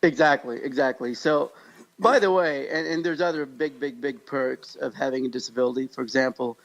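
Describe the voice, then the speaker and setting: A man's voice: medium pitch, normal speed, poor audio. An American man co-hosting a podcast in casual conversation, telling stories in an upbeat, joking way. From Pakistan-born, grew up in Kansas, United States. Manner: upbeat, jokey storytelling. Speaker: podcast co-host.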